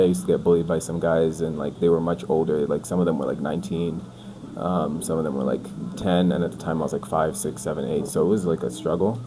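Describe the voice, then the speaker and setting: A man in his twenties from the UK, a podcast guest talking casually and loosely, full of "like" and "bro". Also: low-pitched. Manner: relaxed, rambling, says "like" and "bro" a lot. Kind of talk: casual chat. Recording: noisy background.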